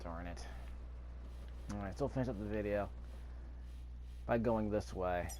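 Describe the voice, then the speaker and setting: A man's voice: on the low side, a little slow, warm tone. A man giving casual let's-play commentary, rambling and thinking aloud as he goes. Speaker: gameplay video host. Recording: clear background noise.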